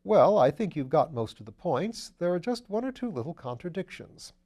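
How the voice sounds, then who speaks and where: A lively upper-class gentleman detective, as a male reader puts him on, pitched a bit above a mellow natural voice. He talks in brisk, eager bursts of posh slang. It is an audiobook character voice. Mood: breezy. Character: breezy aristocratic English gentleman sleuth, man.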